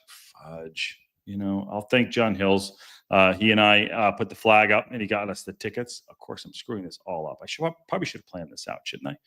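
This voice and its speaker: Rambling man with a deep voice. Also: gravelly, fairly bright tone. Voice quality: deep, strong voice. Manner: rambling, self-interrupting, stumbles over words; chatty and jokey. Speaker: podcast host. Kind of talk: casual live-stream chat reading viewer comments.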